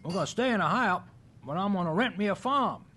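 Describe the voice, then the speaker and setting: Gruff Southern farm dad and moonshiner, folksy radio drama, lively swings in pitch, some background noise. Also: male voice, brisk. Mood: determined. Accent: Southern US rural. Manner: folksy, plain-spoken, drawling rural grammar. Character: gruff, stubborn country father and moonshiner. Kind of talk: old-time radio drama acting.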